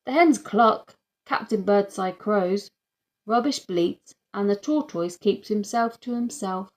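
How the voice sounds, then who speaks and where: A woman's voice: mid-range voice, slow pace, natural voice. A woman voicing a dry, matter-of-fact, stubborn father in a children's audiobook. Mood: dry, patient. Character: dry, stubborn dad, protective of his farm animals.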